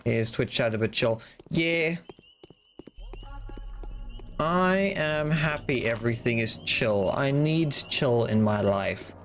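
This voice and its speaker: A man's voice: mid-range voice, takes it slowly, slight background noise. A man streaming a game live, chatting loosely and rambling to his viewers and to himself. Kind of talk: casual live-stream chat while playing.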